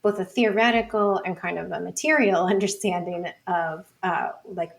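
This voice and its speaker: A woman's voice: on the low side, fairly even intonation, normal speed, gravelly. A woman speaking as a podcast guest and researcher in casual intellectual conversation, thinking aloud with plenty of "like" and "you know".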